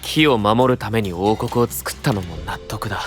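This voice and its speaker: A man's voice: fairly low pitch, moderate pace, faint music behind, lightly acted. An actor playing a serious, burdened young male knight, grave and restrained, in a fantasy voice drama. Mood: troubled, pondering.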